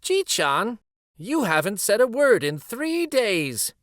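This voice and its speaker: A kindly old grandmother from a picture book, played by a man reading aloud. The voice is lifted high and lilts gently, coaxing and concerned, at an unhurried pace. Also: very animated, no room sound, clean studio sound, heavily acted.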